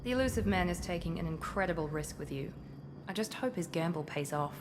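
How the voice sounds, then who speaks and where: Cool, low-voiced covert operative. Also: female voice, animated, a little fast. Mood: critical, self-assured. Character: cool, confident, critical female covert operative. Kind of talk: video game cutscene acting. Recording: clear ambient noise behind.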